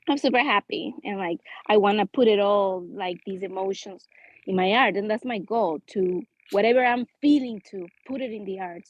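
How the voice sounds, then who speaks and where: A woman's voice: medium pitch, dull audio. A woman from Ecuador, an artist, chatting eagerly on a video call with lots of "like" fillers. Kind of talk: asking and introducing herself on a video call.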